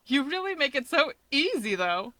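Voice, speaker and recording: A woman's voice: high voice, very animated, normal speed, bright, forceful tone, no echo, clean studio sound, voice pushed up, exaggerated voice. A woman voicing a brash, cocky young superheroine in a story, mocking and needling as she talks.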